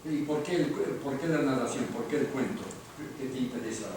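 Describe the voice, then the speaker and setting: A man's voice: mid-range voice, brisk, a little mellow. Male interviewer, chatty, lots of follow-ups, distant mic, noisy echoey hall. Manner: chatty, asking follow-up questions. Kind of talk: interview questions. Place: large room at an award ceremony.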